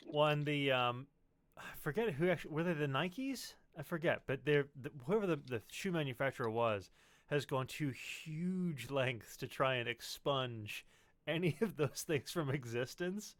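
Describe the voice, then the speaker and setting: A man's voice: a little high, lively intonation, normal speed, no room sound. A man hosting a casual chat, talking in a colloquial, conversational way. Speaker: podcast host.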